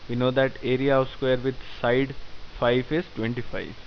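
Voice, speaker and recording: A man walks through code slowly and methodically, in a flat, even voice with little rise and fall. The recording is dull and lo-fi, with a faint hiss underneath. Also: medium pitch. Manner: methodical, step-by-step explaining. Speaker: programming tutor. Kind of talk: screen-recorded coding tutorial.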